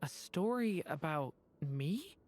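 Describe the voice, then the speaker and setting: A woman voicing a gentle young male dragonborn youth in a video game, earnest and soft-spoken. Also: low voice, expressive, slow pace, loud music behind the voice. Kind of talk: video game character acting.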